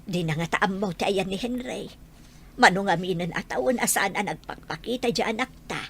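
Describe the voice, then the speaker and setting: Woman acting a husky, anxious old Ilocano mother. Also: medium pitch, a little fast. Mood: worried, pleading. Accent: Ilocano Filipino. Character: anxious, devoted old mother longing for her son. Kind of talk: Ilocano audio drama acting.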